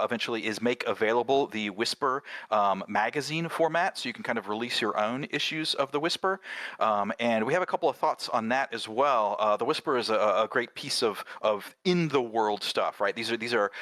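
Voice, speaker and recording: A man's voice: fairly low pitch, bright, forceful tone, no room sound. A man talking as a co-host and creator, explaining his projects in a casual, conversational way.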